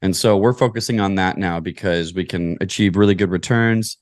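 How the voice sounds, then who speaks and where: A man's voice: low voice, fairly quick, dry recording, studio quality. An American man from Kentucky answering interview questions as a podcast guest, explaining things methodically in a conversational way. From Kentucky, United States. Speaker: podcast guest, real estate investor.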